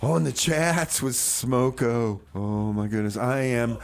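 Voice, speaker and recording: A man's voice: mid-range voice, takes it slowly, vocal fry. A man playing a rambling, easily sidetracked music radio host in casual comic banter.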